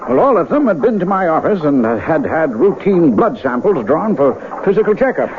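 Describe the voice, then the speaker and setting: A man's voice: on the high side, sing-song, moderate pace. A man acting a weary, ageing doctor in a radio drama, folksy and ruminative. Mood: troubled, musing. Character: weary, troubled male small-town doctor, getting older. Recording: tinny, noisy vintage radio broadcast.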